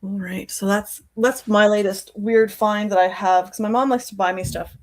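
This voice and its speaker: A woman's voice: mid-range voice, steady tone, normal speed. A woman chatting casually and playfully as a livestream host.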